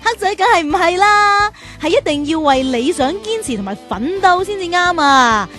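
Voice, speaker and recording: High-voiced, animated woman guide. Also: normal speed, faint music behind. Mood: encouraging. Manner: chatty, animated, storytelling. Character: friendly adult woman, guide and motherly figure to children. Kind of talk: children's radio drama acting.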